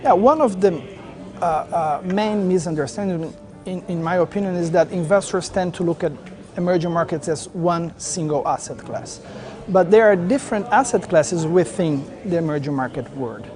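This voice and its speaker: Earnest guy, bit higher voice, corporate interview, faint music behind. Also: moderate pace, darkish tone. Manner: earnest, stresses conviction with "we strongly believe". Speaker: emerging market debt investor.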